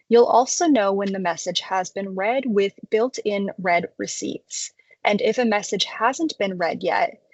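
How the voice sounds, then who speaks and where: Female software tutorial narrator, medium pitch, conversational explainer delivery, dry close-mic sound. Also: no echo, dull audio. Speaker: software demo presenter. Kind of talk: scripted product tutorial narration.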